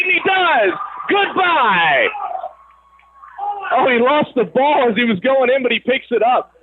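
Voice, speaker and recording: Higher-pitched man calling sports on local radio. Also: narrow, tinny audio. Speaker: radio play-by-play announcer. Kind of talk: live high school sports commentary. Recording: band-limited radio broadcast sound, gym or field noise.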